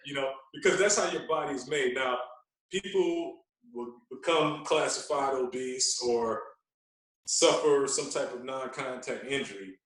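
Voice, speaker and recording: Male podcast guest, very echoey room, slightly off-mic, earnest and deliberate, repeats for emphasis. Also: medium pitch, a bit bright. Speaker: podcast guest, radio DJ and fitness coach. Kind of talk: casual conversational explaining. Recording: roomy echo, distant from mic.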